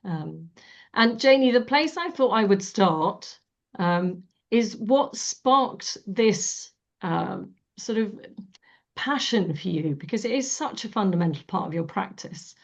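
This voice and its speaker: Croaky-voiced woman hosting a webinar. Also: medium pitch, expressive, slow pace. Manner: warm, chatty, many fillers. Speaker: webinar host. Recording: dull lo-fi webinar audio.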